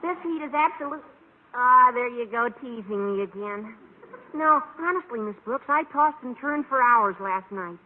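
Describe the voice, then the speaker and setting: An American actor playing an eager, scheming teenage schoolboy, gawky and over-earnest, in an old radio sitcom. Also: male voice, very high-pitched, lively intonation, normal speed. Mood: earnest complaining. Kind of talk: 1940s-50s American radio sitcom acting. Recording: tinny old radio recording, faint hiss.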